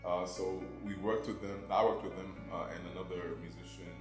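Low-voiced man in an echoey room. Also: fairly quick, music in the background. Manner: relaxed, chatty, laughs often, repeats words. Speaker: singer being interviewed. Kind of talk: casual interview talk.

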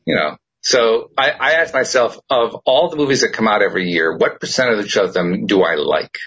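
A man's voice: fairly low pitch, moderate pace, husky, poor audio. A middle-aged man, host and producer, chatting casually and emphatically, laughing often. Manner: emphatic, animated storyteller who laughs often. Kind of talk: casual chat.

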